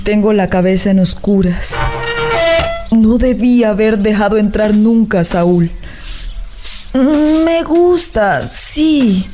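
A woman's voice: medium pitch, expressive, slow, music in the background. An actress playing a proud, lovesick young woman in a radio drama, with sighing, theatrical delivery. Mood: torn, confiding. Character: lovesick, torn young peasant heiress, melancholy, proud.

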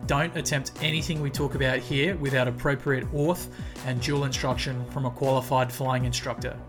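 A man's voice: medium pitch, steady tone, moderate pace, over music. A man, hosting an aviation podcast interview. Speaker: podcast host, pilot.

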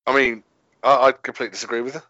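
A man's voice: mid-range voice, flat delivery, very fast, pushed and bright, dry recording, dull audio. A man from Birmingham in casual chat on a video call, telling rambling anecdotes and laughing easily. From Birmingham, England.

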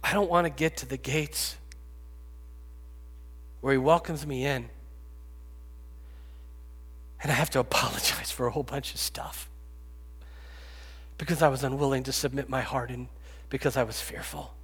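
A man in his fifties preaching a sermon, rising to loud pleading and dropping to hushed whispers. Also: medium pitch, fairly even intonation, moderate pace, long pauses, husky. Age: 50s. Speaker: pastor. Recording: long reverb, echoey hall.

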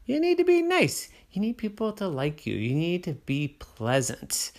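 A man's voice: sits high, huge pitch swings, normal speed, a little mellow, voice pushed up, exaggerated voice. A man voicing a bossy but well-meaning big sister who scolds and coaxes her grumpy brother. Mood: lecturing. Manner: scolding, encouraging, insistent.

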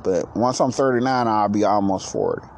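A man in his late thirties hosting a casual solo podcast, who says he is low on energy and talks in a rambling, halting way with many restarts. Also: creaky, darkish tone, a little hiss or hum. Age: 30s. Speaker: podcast host.

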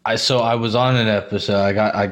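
A man's voice: fairly low pitch, fairly even intonation, slight background noise. A man hosting a podcast, chatting casually and enthusiastically, swearing often and stumbling over repeated words as he gushes. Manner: profane, gushing, halting repetitions. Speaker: podcast host.

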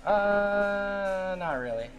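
A man's voice: high voice, animated, very slow, lo-fi sound, over music. A young man interviewing someone in a casual chat on a cruise ship, rambling with lots of fillers and frequent laughs.

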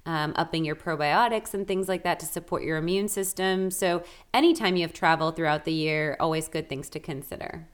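A woman's voice: deep voice, brisk, hardly any pauses. A woman co-hosting a podcast, upbeat and explanatory, walking listeners through advice and products. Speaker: podcast co-host. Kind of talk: casual chat and product promotion.

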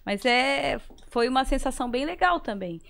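Casting: woman, higher-pitched voice, Santa Catarina accent, casual anecdotal chat with bits of re-enacted dialogue. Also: animated, moderate pace, creaky, a bit bright. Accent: southern Brazilian, Santa Catarina. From southern Brazil. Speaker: guest, singer. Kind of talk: casual conversational storytelling.

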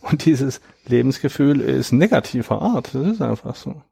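Gravelly, husky man explaining calmly. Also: medium pitch, no echo, studio quality. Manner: reflective, measured, explains at length. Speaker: interview guest, author. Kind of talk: conversational interview.

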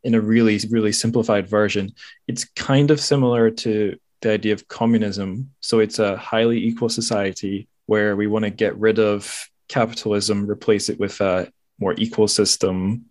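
A man's voice: lowish voice, steady tone, moderate pace, a little mellow, no room sound. A man in his twenties hosting a podcast, explaining things casually in an informal, wry and self-deprecating way. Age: 20s. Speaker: podcast host.

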